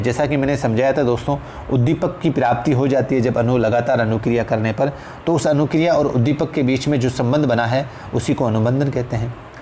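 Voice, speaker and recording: A man's voice: mid-range voice, darkish tone. A man with an Indian accent teaching in an explanatory lecture style, repeating phrases for emphasis and addressing listeners as friends. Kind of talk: exam-prep lecture, explanatory. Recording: clear background noise.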